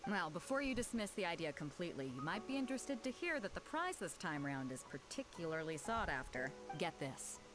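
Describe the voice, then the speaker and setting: A woman's voice: fairly low pitch, big pitch swings, fairly quick, few pauses, music in the background. A woman playing a breezy, self-assured captain who talks persuasively, in an anime video game. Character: confident, breezy woman captain organizing a fighting tournament.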